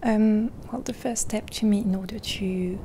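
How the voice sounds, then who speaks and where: A woman speaking in a gentle, half-whispered ASMR roleplay, rambling softly and reassuringly with pauses, close to the mic. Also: medium pitch, fairly even intonation, a little hiss or hum. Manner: caring, reassuring. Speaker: ASMR creator. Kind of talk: soft-spoken ASMR roleplay.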